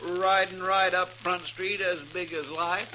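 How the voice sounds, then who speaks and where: Folksy fretful cowboy sidekick, high bright voice, earnest drawl, old radio recording. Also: male voice, steady tone, measured pace, full character voice, low-quality recording. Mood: eager. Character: fretful, loyal, homespun marshal's sidekick. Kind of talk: old-time radio western acting. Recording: vintage radio recording with background hiss.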